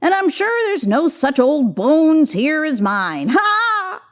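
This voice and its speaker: A woman's voice: sits high, big pitch swings, takes it slowly, pitched above the performer's own voice, heavily acted. A woman voicing a jovial, sly gray-haired shopkeeper of nearly seventy in a storybook, colloquial and exclaiming. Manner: colloquial, exclaiming, repeating himself.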